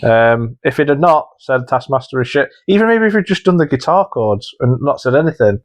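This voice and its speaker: A man's voice: mid-range voice, very animated, fairly quick, no echo. Man, podcast host, casual banter, hesitant judge thinking aloud, dry close sound.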